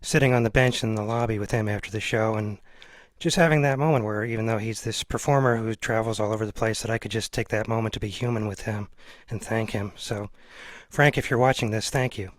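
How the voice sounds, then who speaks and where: Man, rapid talker, dry close sound, earnest, casual interview host. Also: on the low side, steady tone, dry recording. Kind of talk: casual conversation.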